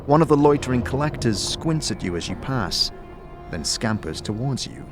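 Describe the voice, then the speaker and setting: A man giving scripted fantasy narration in the second person, as a measured, dramatic storyteller. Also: mid-range voice, sing-song, music in the background.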